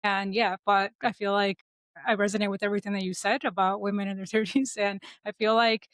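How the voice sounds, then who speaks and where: A woman's voice: mid-range voice, little pitch movement, fairly quick, a bit bright, no room sound. A woman of thirty-nine talking casually and reflectively as a life coach guest in an interview.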